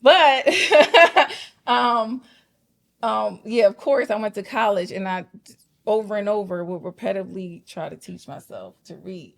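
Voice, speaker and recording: A woman's voice: medium pitch, unhurried, creaky. Woman guest, first language Patois, candid, reflective, conversational. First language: Jamaican Patois. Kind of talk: casual talk-show conversation.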